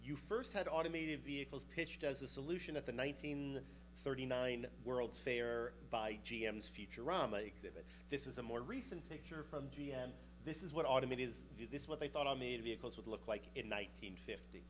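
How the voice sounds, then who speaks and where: A man's voice: mid-range voice, brisk, low-quality recording. A man in his thirties with a New York accent, presenting at a conference in an easygoing, chatty way. His voice sits in a middle register. A faint hum runs under the recording. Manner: casual and chatty, with a joking aside here and there. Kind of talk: conference talk.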